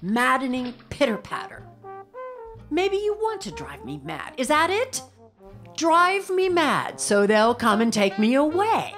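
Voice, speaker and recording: A demanding middle-aged mother in a radio drama. Her voice is high and swoops dramatically as she complains. She nags in a slow, querulous, self-dramatizing way, with music behind her. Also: big pitch swings, a bit bright, full character voice. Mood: bitter, accusing. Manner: melodramatic, nagging, querulous. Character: demanding, complaining, possessive mother of a grown son.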